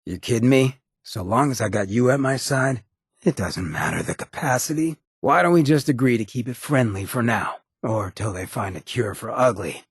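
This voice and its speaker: An actor playing a roguish, irreverent ghoul in a video game, with a raspy American voice and a wry, cocky, streetwise drawl. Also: male voice, mid-range voice, lively intonation, moderate pace, no echo, clean studio sound. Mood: warm, teasing. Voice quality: raspy, gravelly. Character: roguish drug-loving irradiated ghoul, swaggering, irreverent, loyal. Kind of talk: video game companion dialogue.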